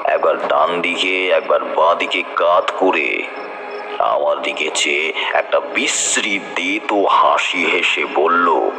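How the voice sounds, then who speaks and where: A man narrating a horror audio story in the first person, with dramatic, suspenseful and deliberate delivery. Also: low-pitched, noisy background.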